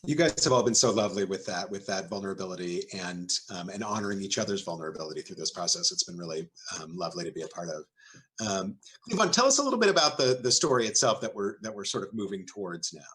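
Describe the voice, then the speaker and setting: Guy hosting a laid-back panel chat, lowish voice, talks quick but kinda hesitant. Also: sing-song, fairly bright tone. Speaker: interviewer and discussion host. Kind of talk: casual panel discussion.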